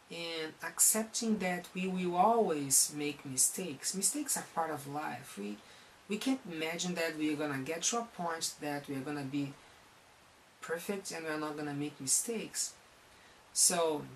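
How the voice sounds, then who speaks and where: A man with a Brazilian accent talks through a recorded psychology lesson at an unhurried, deliberate pace. His delivery is earnest and halting, with frequent restarts of phrases. A faint hiss sits under the voice. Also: a little high, fairly bright tone, poor audio. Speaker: counselor.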